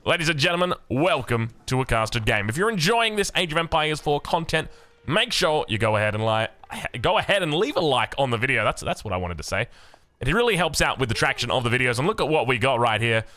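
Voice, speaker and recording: A young Australian man casting a video game match, energetic and excitable, his voice a little hoarse from a long day of commentary. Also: brisk. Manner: excitable, energetic, big pitch swings. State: slightly hoarse. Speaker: game caster.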